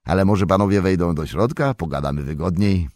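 A man voicing a stout, older male hobbit farmer who talks loudly and heartily. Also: a little fast, no echo, studio quality, close to an everyday voice. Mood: hearty. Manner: loud, booming and hospitable.

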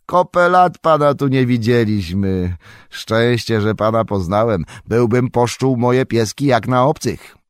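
A man voicing a stout, older male hobbit farmer who talks loudly and heartily. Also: animated, unhurried, no echo, studio quality, close to an everyday voice. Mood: hearty. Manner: loud, booming and hospitable.

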